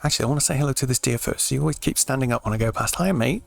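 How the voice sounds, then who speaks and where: A British man giving laid-back, explanatory let's play commentary in an informal way, his voice slightly croaky. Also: mid-range voice, rapid-fire. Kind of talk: casual game commentary.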